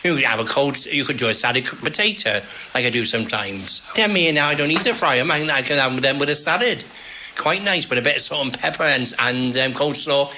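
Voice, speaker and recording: A man chatting homely and rambling as an interviewee, with halting restarts. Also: medium pitch, animated, pushed and bright, slight background noise. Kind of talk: casual chat.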